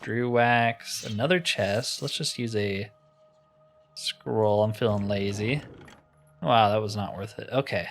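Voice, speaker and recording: A man's voice: fairly low pitch, slow pace, soft background music. A man giving casual let's-play commentary, musing aloud with wry asides as he plays. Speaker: gamer narrating his playthrough.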